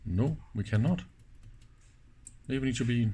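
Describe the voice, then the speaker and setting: A man presenting a casual hands-on demonstration, speaking in halting pieces and often checking in with short questions. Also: medium pitch, lively intonation, warm tone, slightly rough. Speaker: presenter of electronics teardown videos.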